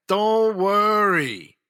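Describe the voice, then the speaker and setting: A man's voice: high voice, bright, forceful tone, smooth, heavily acted. A man playing a smug, menacing crime boss and escaped convict, plotting in a comic audio drama.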